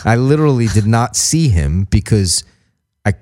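A man's voice: on the low side, expressive, moderate pace, a little mellow, no room sound, studio quality. A man co-hosting a comedy podcast, telling a crude story and laughing as he talks. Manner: crude, laughing mid-sentence. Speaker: podcast co-host.

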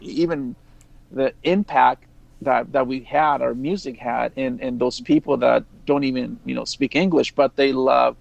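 A man speaking as an interview guest, reflective and earnest, in casual, halting sentences. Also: medium pitch, steady tone, gravelly, no room sound, muffled recording.

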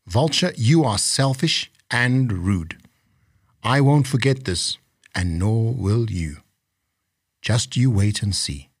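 A man voicing a weary, stern old African chief in a storybook, with a husky voice. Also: fairly low pitch, dry recording, studio quality, exaggerated voice. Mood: indignant, stern. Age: older. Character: tired, aggrieved old African chief with magic. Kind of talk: storybook character voice.

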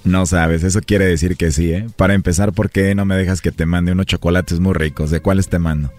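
A man with a Mexican accent, smooth-talking and flirtatious, making a prank call for a radio show. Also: low-pitched, fairly even intonation, a little fast, darkish tone, soft background music. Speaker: radio prank caller posing as a suitor. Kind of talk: flirtatious prank phone chat.

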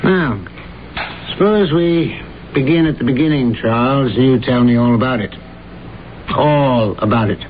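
An actor playing a sober, deliberate attorney questioning his client in a radio drama. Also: medium pitch, natural voice. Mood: businesslike, calm. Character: sober, probing male attorney visiting a jailed client. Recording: tinny old radio broadcast, faint noise.

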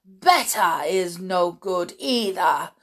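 A woman's voice: on the low side, expressive. A woman voicing a tyrannical, cruel grand witch leader in an audiobook, menacing and imperious, drawing out her words. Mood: outraged. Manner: imperious, drawn-out, menacing delivery.

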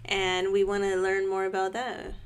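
A woman's voice: medium pitch, monotone, normal speed, clean tone. Young woman, podcast host, chatty, upbeat, casual.

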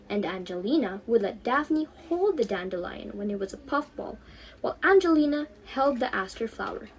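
A teenage girl reading her own story aloud as an expressive storybook narrator. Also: sits high, slight background noise, poor audio.

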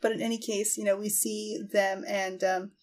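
Female podcast speaker, average pitch, informal and meandering, laughs easily between phrases. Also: little pitch movement, moderate pace, a little mellow. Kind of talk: casual chat, loose recap.

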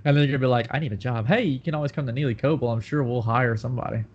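A man's voice: mid-range voice, racing, slightly rough, highs cut off. A man with a Southern US accent and a nasal, stuffed-up voice, rambling and joking in a casual podcast chat. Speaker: podcast co-host.

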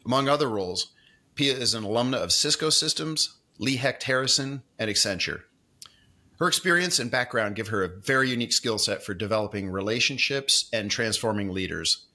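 A male podcast host with a bright, forceful voice. He reads a guest intro and then slips into friendly, conversational questions. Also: medium pitch. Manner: friendly, conversational with "you know". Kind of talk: interview hosting, scripted intro.

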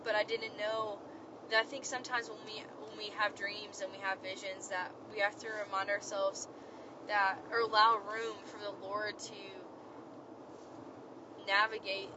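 An earnest young woman shares encouragement in a rambling, heartfelt way, her voice still cracked after an illness. She records from her car while driving, so the sound is dull and lo-fi. Steady road noise hums behind her. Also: medium pitch, normal speed. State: voice cracked after being sick. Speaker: podcast host. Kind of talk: casual faith talk.

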